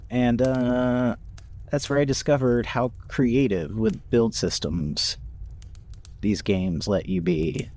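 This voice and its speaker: A man chatting casually in a halting, good-humored and self-mocking way. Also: mid-range voice, darkish tone. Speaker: podcast voice actor and writer. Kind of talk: casual chat.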